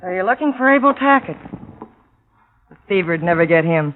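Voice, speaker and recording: A woman's voice: lowish voice, expressive, normal speed, natural voice. Insistent, lovelorn woman, played by an actress on old radio. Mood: confiding. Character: determined, lovelorn woman, stubborn and scheming. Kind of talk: 1950s radio drama acting. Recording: narrow, noisy old radio recording.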